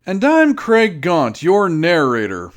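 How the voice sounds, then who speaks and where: A man's voice: sits high, wildly swooping pitch, slow. A man narrating a scripted sci-fi comedy in a theatrical, wry manner.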